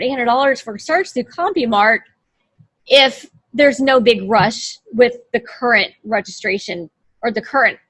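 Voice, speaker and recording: A woman's voice: on the high side, a bit bright, breathy edge, low-quality recording. A woman from the United States, a trademark lawyer, talking through her screen on a video call in a chatty way, often restarting her phrases. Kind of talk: casual explanatory chat over screen share.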